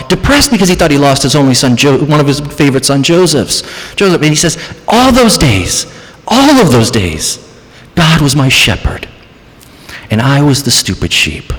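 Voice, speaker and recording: A man preaching a sermon as pastor, dramatic, moving between hushed passages and raised, emphatic ones. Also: on the high side. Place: church sanctuary. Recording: church hall reverb.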